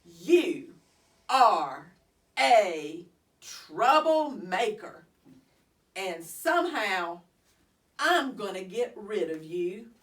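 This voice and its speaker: A woman's voice: medium pitch, sing-song, drawn-out words, pauses often, a bit bright, distant mic, heavily acted. A woman voicing a grumpy, scary female schoolteacher in a storybook, grumbling and speaking with emphatic menace. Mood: angry, menacing. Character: grumpy, scary middle-aged female schoolteacher, scolding and resentful.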